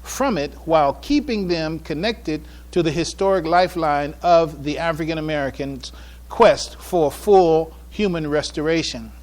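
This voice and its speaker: A man with a slightly raised pitch addresses an audience as a presenter. He speaks haltingly, often doubling back on his phrases, in the manner of someone presenting a paper at a public event. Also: darkish tone. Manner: halting, repeats and restarts phrases, trailing asides. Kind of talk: public address, informal preamble then reading a paper aloud.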